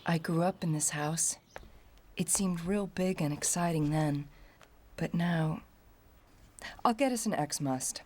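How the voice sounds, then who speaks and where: An actress playing a poised, vengeful young heiress in a video game, cool and dry in delivery. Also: female voice, lowish voice, slow, long pauses, darkish tone. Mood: matter-of-fact, reminiscing. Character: poised, sardonic, vengeful young heiress, murdered man's daughter. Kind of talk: video game cutscene acting.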